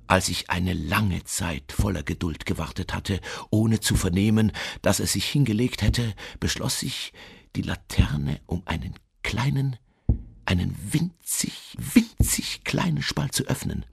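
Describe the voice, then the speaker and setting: An actor playing an obsessive, mad murderer who insists he is sane, confessing in a dramatic radio monologue with hushed intensity and deliberate emphasis. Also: fairly low pitch, vocal fry, breathy edge, full character voice. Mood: hushed, suspenseful.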